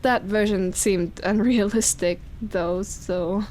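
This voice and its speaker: A woman acts out an immortal vampire woman in an intimate ASMR audio drama. She speaks slowly at a medium feminine pitch, breathy and seductive, sometimes dropping to a whisper. She is teasing and possessive yet tender, with faint ambient noise behind her. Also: steady tone, close to an everyday voice. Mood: wistful confession. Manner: breathy teasing, soft sighs and chuckles, whispered phrases.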